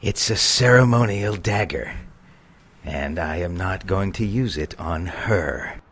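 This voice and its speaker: An actor in an audio drama playing an eerie, menacing brother of a witch, speaking in a measured, ominous way. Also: sits low, lively intonation, fairly bright tone, full character voice.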